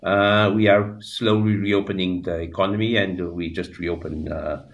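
Deep-voiced Portuguese-accented man in his sixties. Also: steady tone. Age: 60s. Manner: halting, many fillers and restarts. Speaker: guest. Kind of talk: radio interview, reflective storytelling.

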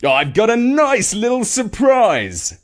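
Man playing a breezy, drawling, animated uncle. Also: high voice, takes it slowly, pitched above the performer's own voice, full character voice. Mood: breezy, pleased. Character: breezy, charmed, self-assured younger or middle-aged uncle.

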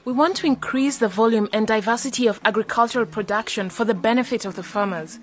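A courteous, idealistic young woman, voiced by an actress in a radio drama. Her voice is fairly high and earnest, with soft music in the background. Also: quick pace, lightly acted. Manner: polite, earnest. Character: idealistic, courteous young female economist graduate.

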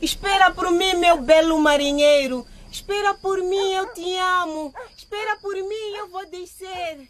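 A woman's voice: very high voice, measured pace, a crowd in the background. An actress playing a gushing, lovestruck woman in a radio drama, calling out eagerly and swooning.

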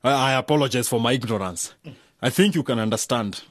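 Pompous, husky town mayor, played by a man. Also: mid-range voice, fairly quick, no room sound, studio quality, natural voice. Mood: smugly patronizing. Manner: pompous, condescending. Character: domineering long-serving male town mayor, patronizing and smug. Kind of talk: radio drama acting.

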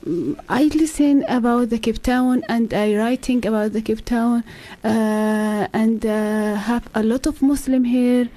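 A woman in her twenties speaks English with an Arabic accent, pausing often to search for words. Her voice is mellow and a little high, and she answers as a guest in a radio studio. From Saudi Arabia. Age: 20s. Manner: halting, searching for words with many fillers. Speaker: guest, exchange student.

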